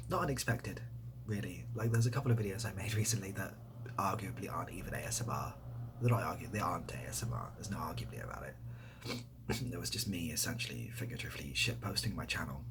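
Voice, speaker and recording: A man chatting casually and softly, often nearly whispering, in a rambling, self-deprecating way as he answers questions. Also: deep voice, lively intonation, a little fast, background noise. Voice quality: soft, breathy, often near whisper. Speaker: ASMR video creator. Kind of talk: softly spoken casual Q&A chat. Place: indoors next to a window.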